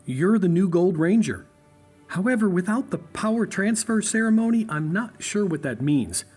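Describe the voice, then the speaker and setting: A man doing a calm nerdy scientist in a fan audio drama, kinda high and husky. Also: moderate pace, soft background music. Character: calm brainy male scientist, ex-ranger.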